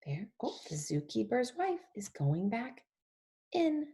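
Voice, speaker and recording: Animated woman reading along with children, very unhurried. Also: fairly high pitch. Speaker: teacher or speech therapist leading a children's read-along. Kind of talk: picture-book read-along for young children.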